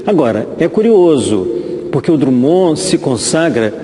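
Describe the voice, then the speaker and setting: A man's voice: a little high, very animated, a little slow, warm tone. A Brazilian man giving informal expert commentary, hesitating and repeating words as he explains, recorded with echoey reverb.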